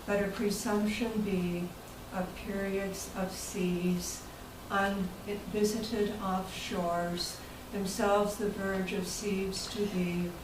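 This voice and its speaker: A woman's voice: medium pitch, little pitch movement, slow pace, hardly any pauses. A woman giving a prepared literary reading aloud at a club meeting. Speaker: guest reader at club meeting. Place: indoor meeting room. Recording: echoey room, distant mic, background noise.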